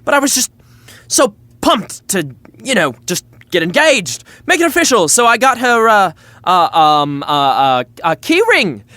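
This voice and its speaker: A cocky young prince in an audio romcom. His casual voice swings widely in pitch and sits dry and close. He has a flippant, boyish confidence that easily turns halting. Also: very animated, pushed and bright, no echo, full character voice. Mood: flustered. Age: young adult. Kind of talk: audio drama romantic comedy acting.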